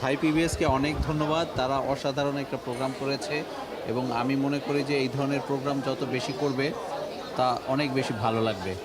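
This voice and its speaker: A man's voice: medium pitch, steady tone, very fast. A man from Bangladesh, a native Bengali speaker with a Bangladeshi accent, giving a short guest interview. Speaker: event guest. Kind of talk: brief vox pop. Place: noisy public forum venue. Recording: loud crowd and venue noise.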